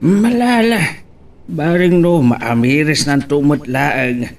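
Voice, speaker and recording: A man playing a calm older father who gives advice in an audio drama, speaking in measured, hesitant phrases. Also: fairly high pitch. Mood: gentle, resigned. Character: calm, advising elderly father of the family. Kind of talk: Ilocano radio-style audio drama. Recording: clear background ambient noise.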